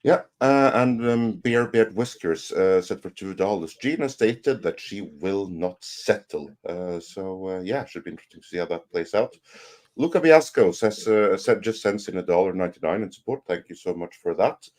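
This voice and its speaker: A man hosting a casual livestream panel, reading viewer messages aloud haltingly and adding brief comments. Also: low-pitched, expressive, a little mellow.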